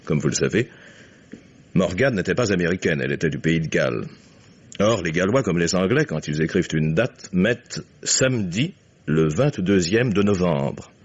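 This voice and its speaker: Shrewd, commanding older father, very low register, measured and ironic, old radio mystery style, muffled sound. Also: animated, slightly rough. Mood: ironic, triumphant. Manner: courteous, deliberate, suavely ironic. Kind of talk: 1950s French radio mystery acting. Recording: dull vintage radio recording.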